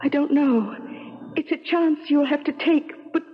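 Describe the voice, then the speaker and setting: A woman's voice: high-pitched, measured pace. A woman playing a doomed, mournful ghost bride from the eighteenth century in a radio drama, with formal, old-fashioned phrasing. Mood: mournful, resolute. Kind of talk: 1970s radio drama acting. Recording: dull 1970s radio broadcast.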